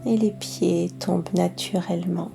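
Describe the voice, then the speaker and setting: A woman guiding a yoga relaxation session as instructor, speaking in a soft, breathy voice with calm, soothing instructions. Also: fairly low pitch, faint music behind. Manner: slow, calm, soothing instructions with long pauses. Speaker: yoga nidra instructor and guide. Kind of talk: guided relaxation meditation.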